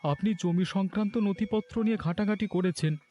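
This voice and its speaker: Man playing an earnest village husband, high mellow voice. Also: fairly quick, muffled recording, close to an everyday voice. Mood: worried. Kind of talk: Bengali cartoon melodrama.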